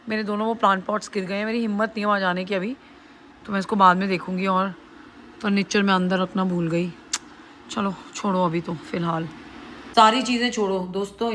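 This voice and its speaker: Woman vlogger, chatty, mixes in English, cooking at home, mid-pitched. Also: fairly bright tone, a little hiss or hum. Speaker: lifestyle vlogger. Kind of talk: casual chat to camera. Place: home kitchen while cooking.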